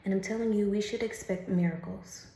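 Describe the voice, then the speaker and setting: A woman doing a casual oracle card reading, chatty and laughing often, who calls the listener honey and reads the card text slowly and carefully. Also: mid-range voice, fairly even intonation, mellow, echoey room, a little hiss or hum.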